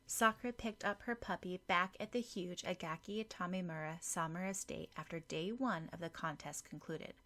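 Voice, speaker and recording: A woman's voice: on the low side, moderate pace, few pauses, fairly bright tone. A woman reading a story as a scripted audiobook narrator.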